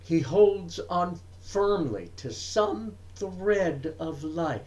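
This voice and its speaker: A man giving a dramatic recitation of poetry, with deliberate, emphatic phrasing and frequent pauses. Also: on the high side, lively intonation, darkish tone, muffled recording. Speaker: reader of poetry.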